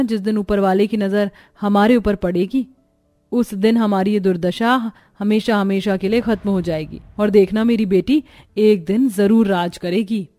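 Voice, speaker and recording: A woman voicing a poor, long-suffering mother in a cartoon, speaking softly and plaintively. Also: mid-range voice, no echo, natural voice.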